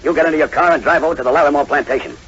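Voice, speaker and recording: An actor playing a bold, short-tempered American plantation owner with a brusque, commanding manner in a 1940s radio drama. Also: male voice, on the high side, very fast, fairly bright tone, husky. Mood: urgent, commanding. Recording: noisy, muffled 1940s radio recording.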